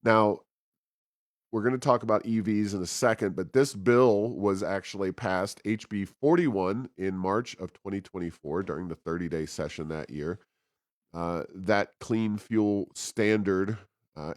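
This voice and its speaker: A man hosting a policy talk show, giving unscripted commentary in a measured, somewhat halting way. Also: on the low side, darkish tone, no echo, clean studio sound.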